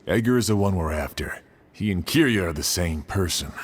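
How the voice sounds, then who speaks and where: A firm, level-headed man speaks in a fairly low voice. The delivery is serious video game dialogue. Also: expressive, a little fast, husky.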